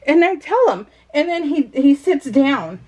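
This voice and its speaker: A woman's voice: high voice, sing-song, measured pace, a little mellow. A middle-aged American woman chatting casually as a vlogger, telling personal stories in a rambling, emphatic way with exasperated asides.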